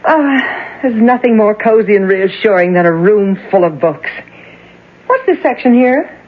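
A woman's voice: mid-range voice, lively intonation, moderate pace, natural voice. An actress playing an innocent, cheerful young woman who giggles often, in an old radio drama. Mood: curious. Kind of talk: 1970s radio drama acting. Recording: dull, muffled old radio broadcast.

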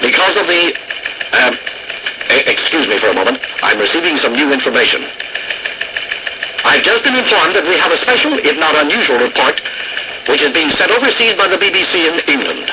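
Grave male network anchor for radio drama, acted, higher-pitched and lively in inflection, formal wartime bulletins, noisy broadcast. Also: a little fast, pauses often. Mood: grave, composed. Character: grave male American network radio news anchor during nuclear war. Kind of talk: scripted radio news bulletin acting.

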